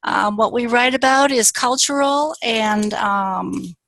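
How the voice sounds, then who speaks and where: A woman from northern Wisconsin hosting an online author panel, talking in a halting way with many fillers. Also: a little high, vocal fry, fairly bright tone, dry recording. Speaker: panel host. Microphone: video call.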